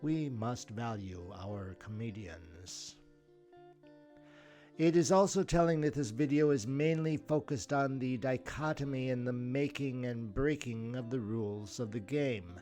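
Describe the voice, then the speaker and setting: A man hosting a podcast, delivering measured, wry scripted commentary with rhetorical turns. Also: medium pitch, warm tone. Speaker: podcast host. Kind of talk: scripted commentary over audio clips. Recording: music bed under voice. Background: instrumental music.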